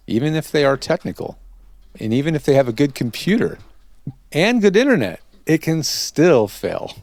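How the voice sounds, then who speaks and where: A man's voice: mid-range voice, gravelly, a little mellow, no room sound. A man hosting a casual interview, talking in a conversational, explanatory way. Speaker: host interviewer. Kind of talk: casual interview chat about recording.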